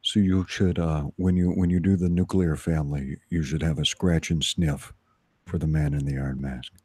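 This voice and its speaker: A man's voice: very deep voice, fairly even intonation, moderate pace, dark tone, slightly rough, no echo. A man co-hosting a livestream with casual, joking banter. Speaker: livestream co-host.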